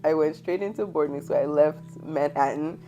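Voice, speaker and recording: A woman's voice: low-pitched, moderate pace, soft background music. A woman in her thirties from New York, hosting an interview over a video call and telling stories with lots of laughter. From New York, United States. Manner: animated storytelling, laughing often. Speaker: host. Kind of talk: casual interview chat.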